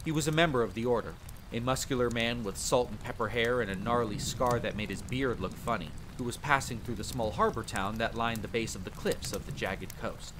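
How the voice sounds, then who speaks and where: A man's voice: mid-range voice, brisk, close to an everyday voice. A man playing a young, brash but good-natured vampire hunter and former street thief, telling his story informally and theatrically in an audio roleplay. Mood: reflective, grave. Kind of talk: dramatic campfire storytelling roleplay. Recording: steady rain and fire ambience noise. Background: rain, rolling thunder, campfire ambience.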